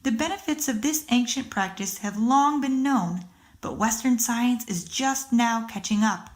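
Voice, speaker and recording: A woman reading a practice passage aloud as scripted narration, with deliberate phrasing. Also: a little high.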